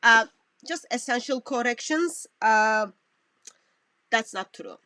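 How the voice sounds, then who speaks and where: Halting woman commentator, dry close sound. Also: fairly high pitch, expressive, sharp tone, no echo. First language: not English. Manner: halting, self-correcting, non-native phrasing. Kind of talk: reaction commentary on a played clip.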